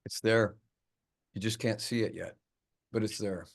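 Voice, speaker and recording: A man playing a driven, rattled detective in a film script read-through, with halting speech and repeated words. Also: lowish voice, steady tone, gravelly. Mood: muttering, brooding. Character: driven, rattled male detective obsessed with his case. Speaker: actor at a script table read.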